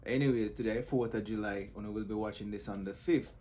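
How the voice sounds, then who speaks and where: A low-voiced Jamaican man hosts casually, chatting to his viewers in teasing patois. The recording carries some faint background noise. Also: fairly even intonation, fast, dark tone. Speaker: host of a comedy compilation channel.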